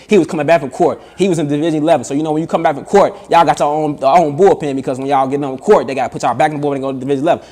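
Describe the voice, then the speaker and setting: A man in a played clip, talking in rapid, swearing street slang. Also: fairly high pitch, a little mellow. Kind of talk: casual talk in a played clip.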